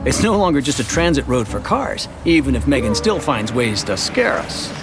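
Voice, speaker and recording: A man playing a chatty, earnest middle-aged suburban dad who narrates an animated short in a conversational, expressive storytelling way over music. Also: medium pitch, moderate pace. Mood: upbeat, proud. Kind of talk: scripted first-person narration for an animated educational short.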